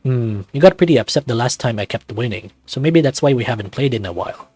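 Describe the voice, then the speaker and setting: A man's voice: mid-range voice, expressive, fast talker, darkish tone, breathy edge, dry recording, faint music behind. Man voicing a snarky young adult son. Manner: sarcastic, needling banter.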